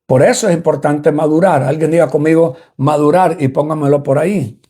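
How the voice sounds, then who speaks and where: Husky man preaching a sermon. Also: a little high, steady tone, a little fast, warm tone. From Cuba. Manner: repetitive, explanatory preaching that addresses listeners directly. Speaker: apostle preaching.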